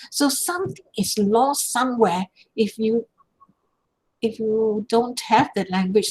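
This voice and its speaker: A woman's voice: a little high, poor audio. An older woman from Malaysia giving an online lecture, answering questions with examples. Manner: explanatory, uses examples and gestures. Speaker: guest lecturer. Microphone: video call.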